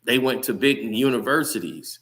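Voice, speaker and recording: Heated male sports commentator addressing viewers, bright and forceful. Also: mid-range voice, animated, normal speed. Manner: heated, ranting, talks straight to viewers. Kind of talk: casual sports commentary rant.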